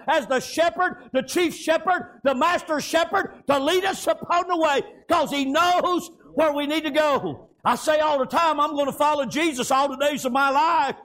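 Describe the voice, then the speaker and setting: A man's voice: extremely high pitch, a bit bright. A man preaching a fervent revivalist sermon, impassioned and exhorting, punctuating phrases with amen and praise God.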